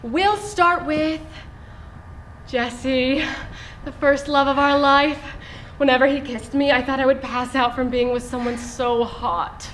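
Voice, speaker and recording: An earnest young-at-heart romantic woman in a stage play. Her voice is high and she takes her time, projecting theatrically. It reaches the listener from a distance in an echoey theatre. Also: fairly even intonation, slow, fairly bright tone, a little hiss or hum. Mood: brisk, persuasive. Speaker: stage actress. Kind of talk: live stage play acting. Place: indoor theatre stage, far from microphone.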